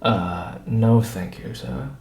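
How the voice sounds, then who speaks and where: Man playing a reserved, courteous doctor, slow-paced. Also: lowish voice, vocal fry, darkish tone, echoey room. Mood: polite, hesitant. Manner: courteous, hesitant. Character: polite, reserved male London doctor, curious and uneasy. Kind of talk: audiobook character dialogue.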